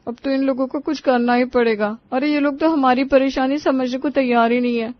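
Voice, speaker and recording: A woman's voice: sits high, fairly even intonation, fairly quick, dry recording, muffled recording, close to an everyday voice. A woman playing a young, exasperated daughter-in-law with a dramatic way of talking in a cartoon story. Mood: fed up. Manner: complaining, dramatic. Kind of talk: acted dialogue in a cartoon story.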